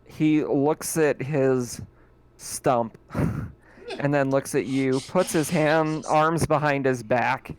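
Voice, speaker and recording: A man narrating a tabletop roleplaying game as its game master, pausing often with fillers. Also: mid-range voice, steady tone, smooth. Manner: slow, halting narration with fillers and laughs.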